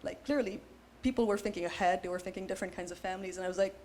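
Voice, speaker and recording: A young woman on a panel, talking in an animated, casual way with many fillers. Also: medium pitch, racing. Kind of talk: panel discussion. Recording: faint background noise.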